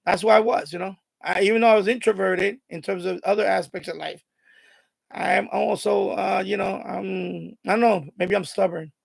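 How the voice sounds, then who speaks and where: A man's voice: normal speed, vocal fry, rough recording. A man in his fifties with a heavy Bronx accent, chatting animatedly on his radio hobby live stream. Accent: Bronx New York. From born in Puerto Rico, raised in the Bronx. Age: 50s. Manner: animated, high intensity, worked up. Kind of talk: casual live stream chat.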